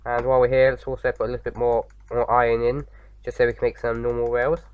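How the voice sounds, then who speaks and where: A man with a slight sore throat, slightly croaky, chatting casually as he hosts a game playthrough, rambling with lots of fillers. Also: mid-range voice, little pitch movement, fast talker, no room sound, narrow, tinny audio. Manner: rambling, many fillers and restarts. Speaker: gaming video host. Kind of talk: casual let's-play commentary.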